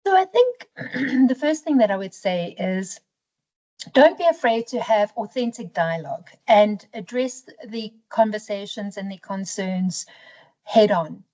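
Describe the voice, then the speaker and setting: A woman executive being interviewed for a corporate video, speaking in a measured, reflective way. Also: medium pitch, expressive, warm tone, lo-fi sound. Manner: measured, reflective, uses "you know" and "for me".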